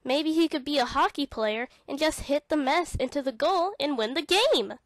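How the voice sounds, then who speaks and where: A woman narrating a read-along children's storybook in an expressive, dramatic way. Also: sits high, normal speed, no room sound.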